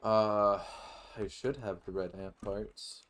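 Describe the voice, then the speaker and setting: A man's voice: fairly low pitch, steady tone, takes it slowly, fairly bright tone, faint music behind. A man giving casual, sweary game commentary, muttering to himself as he plays. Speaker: gaming streamer.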